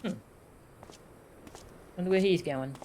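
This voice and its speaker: A woman's voice: lowish voice, takes it slowly, dark tone, a little hiss or hum. A woman streaming a video game, giving casual live commentary and reading dialogue aloud.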